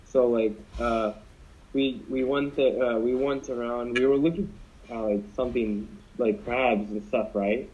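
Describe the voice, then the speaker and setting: A man's voice: medium pitch, fairly even intonation, measured pace, darkish tone. Young man rambling through a story. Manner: rambling, many fillers and restarts. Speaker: podcast co-host. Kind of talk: casual storytelling among friends. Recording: dull lo-fi podcast audio.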